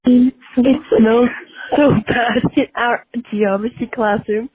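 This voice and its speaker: Through a tinny phone connection comes a young woman with a high, raspy voice. She talks slowly in a playful, casual way, like a student leaving a message. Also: steady tone, dry recording.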